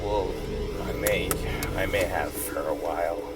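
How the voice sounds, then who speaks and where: Recovering accident victim, hesitant and forgetful, natural audio-drama delivery, off-mic sound under a heavy music bed. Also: male voice, low-pitched, distant mic. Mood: subdued, unsure. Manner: halting, unsure, mild. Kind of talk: naturalistic fiction podcast acting.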